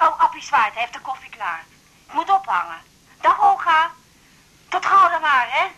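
A woman's voice: sits high, sing-song, normal speed, bright, forceful tone, heavily acted, low-quality recording. An actress playing a flustered, old-fashioned middle-aged mother in a radio drama, chatting hesitantly over the telephone. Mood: apologetic. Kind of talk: 1980s Dutch radio drama acting.

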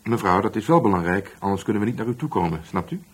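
An actor playing a calm, wry police detective sergeant in a radio drama, measured and polite. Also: male voice, on the low side, slightly rough. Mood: calm, businesslike.

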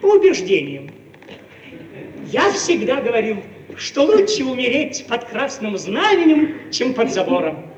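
A self-important Soviet press man of flexible convictions boasts in slow, halting phrases. His high-set voice sounds distant and roomy, with faint noise, as in an old radio play. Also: huge pitch swings, dull audio, heavily acted. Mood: self-justifying. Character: smug opportunist Soviet journalist, bragging man of the intelligentsia. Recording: roomy, distant, noisy archive radio recording.

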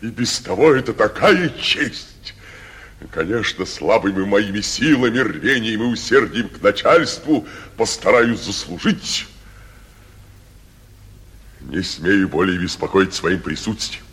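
Halting, terrified provincial judge. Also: male voice, lowish voice, lively intonation, a bit bright, husky, heavily acted. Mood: servile, nervous. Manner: halting, servile, muttering anxious asides. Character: timid, terrified provincial judge, obsequious petty official. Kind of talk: classic Russian stage comedy acting. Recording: muffled 1949 radio theatre recording.

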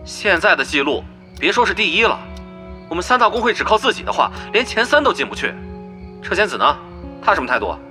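An anxious, bossy guild leader, voiced by a man. His voice is high and sharp, and it leaps all over as he gives orders. Faint music runs behind him. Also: big pitch swings, fast, heavily acted. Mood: urgent.

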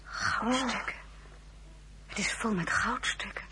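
A woman playing a spirited young mother and marshal's wife in a period radio drama, expressive and often dropping to a whisper. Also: mid-range voice, takes it slowly, noisy background, natural voice. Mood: astonished.

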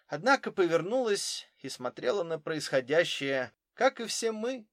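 A man's voice: high-pitched, very animated, measured pace, fairly bright tone, dry recording, studio quality, pitched above the performer's own voice, full character voice. A man voicing a careful, literal German footballer in his thirties, giving witness answers in an audiobook. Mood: careful, cooperative. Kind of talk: audiobook character dialogue.

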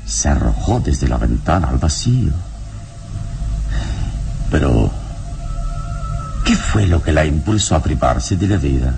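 Very deep-voiced wise radio hero. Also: male voice, sing-song, vocal fry, over music, exaggerated voice. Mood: pensive. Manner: measured, sententious, philosophical. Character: calm, wise heroic adventurer, adult man, philosophical mentor. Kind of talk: classic radio drama acting.

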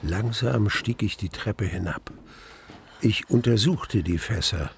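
Casting: grave, creaky-voiced narrator of a dark radio drama, deliberate and suspenseful, faint ambience behind. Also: male voice, lowish voice, slight background noise. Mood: grave, measured. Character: grave male narrator recalling his mortal terror in a cellar. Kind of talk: dramatic first-person radio play narration.

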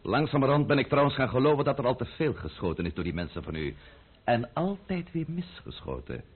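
Silky, scheming count on thin radio. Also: male voice, mid-range voice, big pitch swings, husky. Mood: sardonic, insinuating. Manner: silky, mockingly polite, deliberate. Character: cunning, menacing aristocratic count, coolly sardonic schemer. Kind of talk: Dutch radio play acting. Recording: thin, phone-like old radio play recording.

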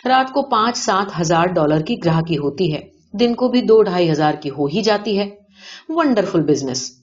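A woman's voice: on the low side, animated, close to an everyday voice. Woman reading as a boastful male vice boss, muffled audio. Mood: proud, offering. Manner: showy, blunt, colloquial with English words. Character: rich, boastful male gambling-den and brothel boss. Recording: dull lo-fi audio.

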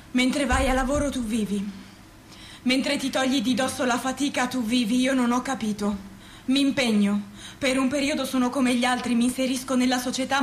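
A woman's voice: high voice, natural voice. A woman in her twenties playing a defiant young worker, declaiming an emphatic, rhetorical monologue on stage. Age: 20s. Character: defiant young working woman confronting workplace deaths. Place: live stage performance in a roomy space. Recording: echoey stage with faint noise.

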